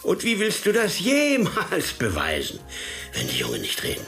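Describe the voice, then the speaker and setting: An actor playing a gruff, skeptical senior police officer in a radio crime drama, challenging and dismissive. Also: male voice, high voice, huge pitch swings, normal speed, fairly bright tone, faint music behind, heavily acted. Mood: skeptical, irritated.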